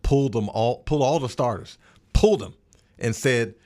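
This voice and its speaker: A man's voice: medium pitch, moderate pace, darkish tone. A man talking sports casually as a podcast panelist, emphatic and repeating words for stress. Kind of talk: casual sports roundtable discussion.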